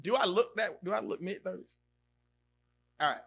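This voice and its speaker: Bright, lively man in his thirties. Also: high voice, normal speed, gravelly, slightly rough. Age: 30s. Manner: animated, exclaims and reacts loudly, repeats himself. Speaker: podcast host. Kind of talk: casual live-stream chat reading comments.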